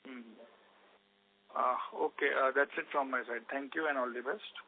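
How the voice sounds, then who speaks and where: A man's voice: medium pitch, normal speed. A man speaking as an analyst, asking spontaneous questions on an earnings call. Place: conference call. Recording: tinny humming phone line.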